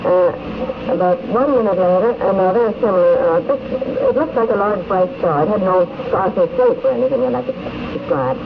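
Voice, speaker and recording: A woman giving an articulate, earnest and measured personal account as an interviewed convention attendee. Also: on the low side, expressive. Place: convention in the countryside. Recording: dull, very noisy 1950s radio recording.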